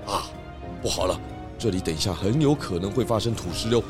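A man with a Taiwanese accent voicing a calm, authoritative pig detective in a children's audio drama, with a measured, explanatory manner. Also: fairly low pitch, music in the background, lightly acted. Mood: grave. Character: calm, authoritative male pig detective who loves food.

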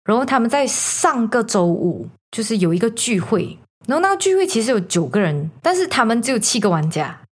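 Female podcast host, Malaysian accent, rapid casual storyteller who drops in English words. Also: on the high side, sing-song, slightly rough. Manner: mixes in English words, explanatory. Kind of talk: casual spoken storytelling to co-hosts.

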